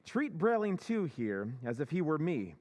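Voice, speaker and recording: An actor playing a smug, self-assured scheming husband in an old-time radio drama recreation. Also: male voice, fairly high pitch, big pitch swings, moderate pace, darkish tone, big-room sound.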